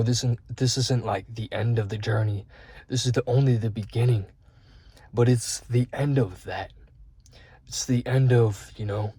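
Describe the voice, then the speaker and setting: Husky, earnest young man talking to camera. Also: mid-range voice, dark tone. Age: 20s. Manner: earnest, rambling, heavy on fillers and swearing. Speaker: vlogger. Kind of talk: candid self-improvement talk to camera.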